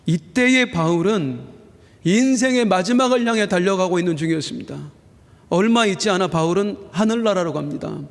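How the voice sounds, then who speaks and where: High-voiced pastor preaching in an echoing hall. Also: male voice. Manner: earnest preaching, slowing down for emphasis and rising on key phrases. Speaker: senior pastor. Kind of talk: Sunday sermon. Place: church sanctuary. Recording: reverberant church hall.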